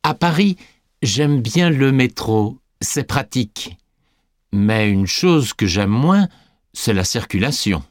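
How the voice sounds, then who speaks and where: An actor playing a young sporty French man answering scripted interview questions with clear enunciation. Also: medium pitch, animated, slow, no room sound, clean studio sound. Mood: friendly, measured. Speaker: interviewee in a language listening exam.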